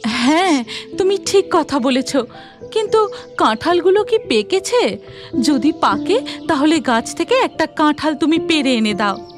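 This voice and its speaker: Woman as humble devout housewife, high animated voice, cartoon dialogue, respectful. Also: unhurried, faint music behind. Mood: brisk, agreeable. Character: devout, humble, hospitable village wife.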